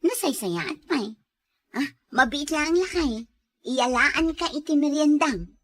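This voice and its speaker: A woman's voice: high-pitched, animated, a little slow, a little hiss or hum. A woman playing an affectionate, teasing young wife in a radio drama. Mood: hopeful.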